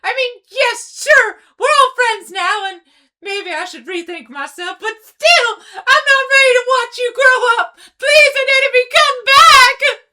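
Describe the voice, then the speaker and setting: A woman's voice: very high voice, measured pace, pushed and bright, full character voice. A woman voicing a melodramatic, overprotective young female pony in a cartoon comic dub, with an overwrought, wailing delivery. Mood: frantic, wailing.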